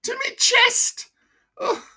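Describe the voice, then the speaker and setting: A woman's voice: extremely high pitch, level tone, very slow, pushed and bright, pitched above the performer's own voice, exaggerated voice. A woman voicing a breathless old male ex-miner from Sunderland with a broad local accent and blunt gallows humour. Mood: rueful wheezy. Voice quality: breathless, broad dialect, cackling. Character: old wheezing Sunderland ex-miner man, grimly jokey.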